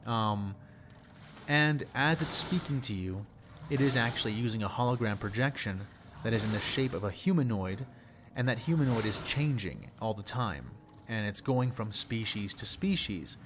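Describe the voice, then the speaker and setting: A young man, slightly hoarse, explaining fictional lore in a rambling, casual way and repeating words for emphasis. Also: lowish voice, animated, moderate pace, noisy background. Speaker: channel host.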